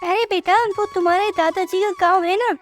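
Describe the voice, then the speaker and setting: A woman's voice: extremely high pitch, steady tone, moderate pace. A woman playing a caring, concerned older mother-in-law who admonishes her family in a scripted moral story cartoon. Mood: firm, coaxing. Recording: music behind the voice.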